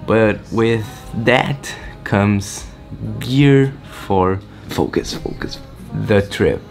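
A teenage boy from Brazil, Portuguese native, chatting excitedly in a casual vlog update from his small bedroom, rambling and restarting often. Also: on the low side, very slow, gravelly, faint music behind. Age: teens. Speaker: vlogger. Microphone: camera-mounted shotgun mic.

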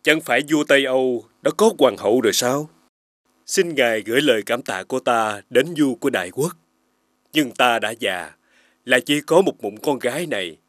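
An actor playing an aging, firm but caring king in an animated fairy tale, speaking formally and with measured dignity. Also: medium pitch, dry recording, clean studio sound, close to an everyday voice. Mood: courteous, firm. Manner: formal, measured royal speech. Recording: faint music behind.

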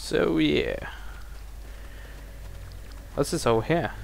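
A man's voice: on the high side, lively intonation, slow pace, vocal fry, slight background noise. A young man with a Norwegian accent, casually commenting on a video game he plays, with dry, sarcastic asides. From Norway. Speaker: gameplay commentator. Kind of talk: casual let's-play commentary.

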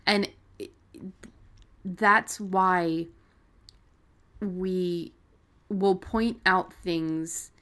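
Woman, lower-pitched, casual chat, blunt, salty-tongued, podcast co-host. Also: fairly even intonation, drawn-out words, long pauses, a bit bright. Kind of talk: casual unscripted discussion.

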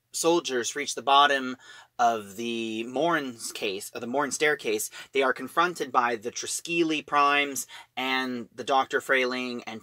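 A man giving a casual spoken review and plot retelling, in a halting manner, piecing phrases together as he goes. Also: medium pitch, sharp tone, no echo. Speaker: audio drama reviewer.

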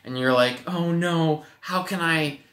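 A young man, a youth pastor, teaching a Bible lesson to children with animated storytelling and playful acted-out voices, speaking to a webcam in a home office. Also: fairly high pitch, unhurried, roomy sound. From Wisconsin, USA. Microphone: webcam at desk.

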